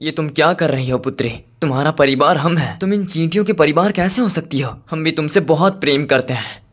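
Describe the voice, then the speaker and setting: A man's voice: fairly high pitch, a little fast, fairly bright tone. A man voicing a protective, authoritative king and father who speaks in a formal, regal manner in a cartoon story. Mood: dismayed, pleading. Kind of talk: Hindi cartoon moral story dialogue.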